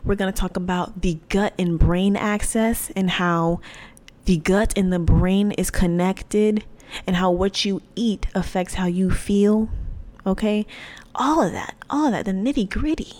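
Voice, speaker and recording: A woman in her twenties hosting her own faith podcast, talking in a chatty, animated way full of slang. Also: medium pitch, a little slow. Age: 20s. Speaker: podcast host. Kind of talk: casual faith podcast talk.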